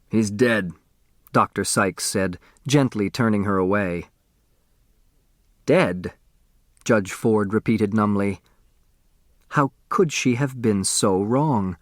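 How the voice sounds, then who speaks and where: A man's voice: fairly low pitch, no room sound. Man narrating a novel, very dry sound. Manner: dramatic, expressive reading. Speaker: audiobook narrator.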